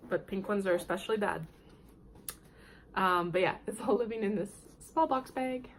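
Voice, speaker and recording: A woman hosting a knitting podcast, sick and nasal, chatting in a rambling, friendly way and clearing her throat now and then. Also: medium pitch, normal speed, a little hiss or hum, poor audio. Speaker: podcast host. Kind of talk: casual knitting podcast chat.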